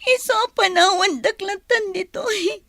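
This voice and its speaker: A woman's voice: very high voice, measured pace, sharp tone, dry recording, exaggerated voice. A woman playing a plaintive, emotional young pregnant student in an Ilocano radio drama. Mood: tearful, pleading. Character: young pregnant student, tearful, pleading and hurt daughter.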